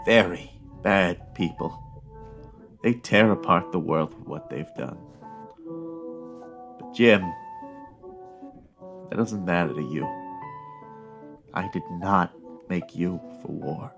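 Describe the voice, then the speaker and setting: A man's voice: deep voice, slow pace, creaky, pauses often, over music, exaggerated voice. A man playing an earnest, protective inventor father in an audio drama, speaking in a hesitant, fatherly way. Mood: tender, earnest.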